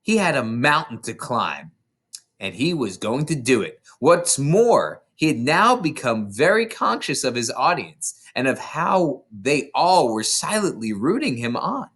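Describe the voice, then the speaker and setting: An expressive reader narrates a children's storybook aloud. The medium-pitched voice moves in very lively swoops, with an occasional stumble over a word. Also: measured pace, husky. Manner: expressive, stumbles over words now and then. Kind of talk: animated read-aloud narration of a children's novel.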